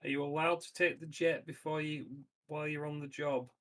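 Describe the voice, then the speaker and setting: A man speaks as a player at a tabletop game. His pitch is a little higher than average for a man, and his delivery is loose, casual conversation. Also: level tone, brisk, a bit bright. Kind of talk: casual chat.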